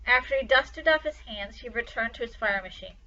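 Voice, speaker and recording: A woman's voice: a little high, animated, brisk, sharp tone, muffled recording. A woman reading a children's story aloud with dramatic, emphatic expression. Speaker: storytime reader.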